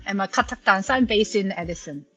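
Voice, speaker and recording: A woman dancer whose first language is Korean, speaking slowly and carefully in a casual conversation. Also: medium pitch, sharp tone, soft background music. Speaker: dancer guest.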